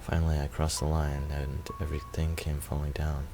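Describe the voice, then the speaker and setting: Very deep-voiced man reading a story. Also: level tone, normal speed, vocal fry, over music. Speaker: video maker reading a visual novel. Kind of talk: reading first-person story narration aloud.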